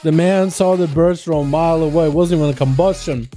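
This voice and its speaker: High-voiced casual game commentator. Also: male voice, brisk, warm tone, faint music behind. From Russia. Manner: chatty, calls out to chat as "boys". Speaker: livestream host and duel caster.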